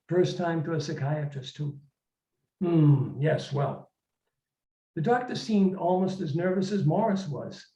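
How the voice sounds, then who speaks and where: In a roomy, slightly dull recording, a male author narrates his own literary short story. His voice is mellow. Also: fairly high pitch. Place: indoor room at a reading event.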